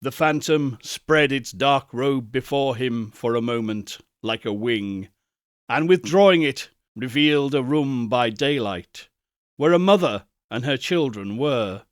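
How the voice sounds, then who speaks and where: A man reads a gothic Victorian tale at a slow, measured pace. His phrasing is solemn and theatrical, and his medium-pitched voice sits in a dry, close recording. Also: animated, no room sound. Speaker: audiobook narrator, reader. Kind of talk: dramatic scripted narration of a Victorian ghost story.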